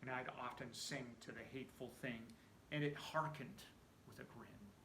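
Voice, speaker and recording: Bright-voiced man reciting dramatically in echoey room. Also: mid-range voice, moderate pace, slight background noise. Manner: animated, theatrical storytelling with hushed phrase endings. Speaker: amateur poetry reciter. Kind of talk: dramatic recitation of a narrative poem.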